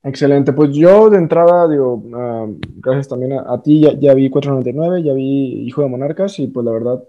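A man's voice: mid-range voice, brisk, mellow, dull audio. A man hosting a casual podcast interview, friendly and a bit hesitant, full of fillers. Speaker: podcast host. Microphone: remote online call.

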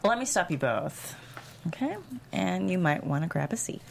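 A woman's voice: fairly low pitch, expressive, moderate pace, vocal fry. A woman playing a dry, matter-of-fact, unimpressed doctor in a sitcom table read. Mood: dry, firm.

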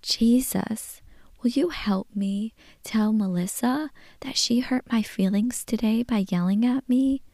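A woman reading a young girl's prayer letter in a soft whisper. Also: a little high, slow pace, no room sound. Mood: earnest.

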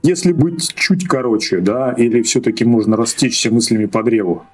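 Husky guy in his 30s from russia, halting chatty guest, keeps restarting, laughs a lot. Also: mid-range voice, soft background music. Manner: hesitant, with restarts and frequent laughs. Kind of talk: casual podcast chat.

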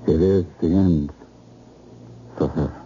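A haunted, guilt-ridden husband in an old radio drama, with a low voice and a heavy, slow delivery. He sounds defeated and repeats himself, on a dull, noisy vintage recording. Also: fairly even intonation, mellow. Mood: haunted, dazed. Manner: halting, repetitive, defeated.

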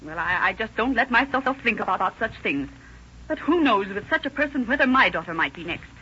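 Prim, sharp-voiced widowed mother, vintage radio. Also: mid-range voice, lively intonation, fairly quick, husky, heavily acted. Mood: indignant, fretful. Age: middle-aged. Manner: prim, wordy, self-righteous. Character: fretful, indignant widowed mother of teenage daughters. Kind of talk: 1930s radio acting. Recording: scratchy muffled old-time radio recording.

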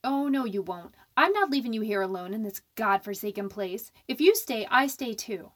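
A woman playing a jittery, snappish, stubborn young working woman in a radio horror drama, emotional and sharp-tongued. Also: a little high, expressive, moderate pace. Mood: distressed.

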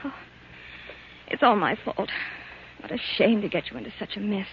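An actress playing a devoted yet defiant wife stranded in a wasteland, earnest and melodramatic in old-time radio style. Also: female voice, medium pitch, moderate pace, vocal fry, close to an everyday voice. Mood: soft, remorseful. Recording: dull, hissy vintage radio recording.

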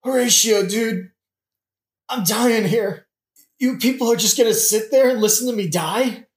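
A man's voice: high-pitched, unhurried. A man playing a dying young prince, sarcastic and crude, with slangy, melodramatic delivery in a modern comedic audio play. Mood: desperate. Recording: roomy, echoing recording.

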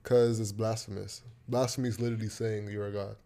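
Man, dark mellow tone, podcast co-host, casual chat, calm and measured. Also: on the low side. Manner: calm, argues in measured steps, many short agreements.

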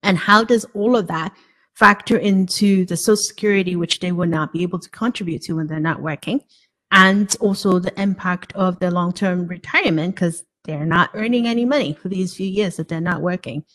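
A woman's voice: lowish voice, normal speed, fairly bright tone. A woman from Hong Kong hosting a podcast, speaking in an earnest, fluent conversational way. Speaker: podcast host. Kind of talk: conversational interview talk.

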